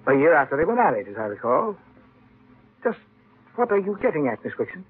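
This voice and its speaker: A man's voice: on the high side, big pitch swings, brisk, slightly rough. An actor playing a formal, guarded male doctor in old-time radio drama. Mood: indignant. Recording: muffled vintage radio recording.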